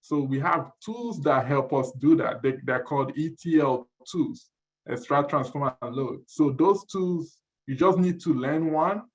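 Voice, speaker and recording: A man's voice: fairly high pitch, rough recording. A man from Nigeria presenting at an online info session, explaining tools casually and often repeating words as he goes. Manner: relaxed, halting with repeated words.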